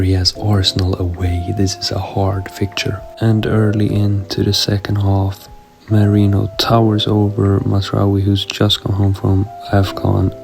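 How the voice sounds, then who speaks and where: A young man with a sick, raspy voice talks through his football game recap in a casual, offhand way. His delivery is low and gravelly with little rise and fall, sitting over clear background music. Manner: casual, chatty recap with asides. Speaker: gaming YouTuber narrating career mode.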